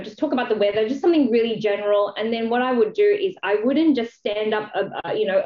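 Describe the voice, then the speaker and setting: A woman's voice: medium pitch, darkish tone. A woman from Malaysia talking casually and explaining her experience as an interview guest, often adding "you know" as she goes. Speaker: charity founder, interview guest. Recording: dull, roomy, echoey sound.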